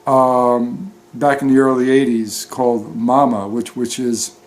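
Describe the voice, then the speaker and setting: A man talking casually and off the cuff as a story reader, in a halting, hesitant manner. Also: medium pitch, little pitch movement, low-quality recording. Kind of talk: narrated book reading, then casual unscripted talk.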